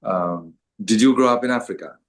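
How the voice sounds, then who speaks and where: An older man with a lowish voice talks his way through answers, circling back with "um" and restarts as he gathers his thoughts. He speaks as an author chatting to an audience, unhurried and conversational. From Sri Lanka. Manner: hesitant, many fillers and restarts.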